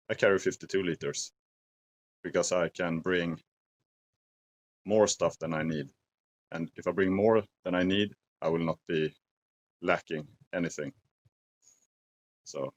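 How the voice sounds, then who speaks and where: A man in his forties with a Swedish accent, talking slowly and thoughtfully to camera outdoors in a forest at dusk, pausing to search for English words. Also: low-pitched, steady tone. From Sweden. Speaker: outdoor vlogger. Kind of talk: casual talk to camera.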